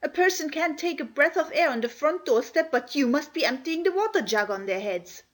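A high-pitched, furious housemaid, played by a woman reader, scolding indignantly. She sounds like an affronted grown woman in a children's storybook. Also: expressive, fairly quick. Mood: angry.

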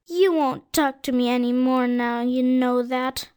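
A tearful, earnest little schoolboy from a storybook. His voice sits very high and sounds quite unlike an adult's, and he pleads eagerly and sincerely. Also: steady tone, measured pace, a little mellow, clear, resonant tone. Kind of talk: storybook read-aloud.

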